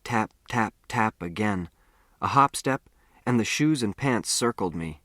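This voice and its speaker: Guy reading an audiobook story, lowish voice, super dry sound, expressive storyteller. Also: measured pace, dry recording. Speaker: audiobook narrator. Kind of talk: scripted first-person story narration.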